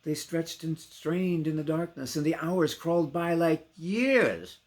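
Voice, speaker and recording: Slow, intensely dramatic man reciting poetry. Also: a little high, lively intonation. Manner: theatrical, declamatory, sharp dynamic contrasts. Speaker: poetry reader.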